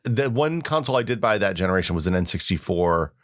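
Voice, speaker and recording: Male, lowish voice, podcast host, casual conversational delivery, informal storytelling, wry. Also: lively intonation, fast talker. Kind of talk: casual chat with reminiscing and reading aloud.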